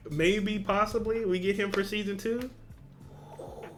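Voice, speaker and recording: A man's voice: sits high, steady tone, a little slow, soft background music. A man speaking informally and with slang, as a reaction host who reads a story aloud and comments casually. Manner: informal, slangy, swearing, exclamatory asides. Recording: clear background noise.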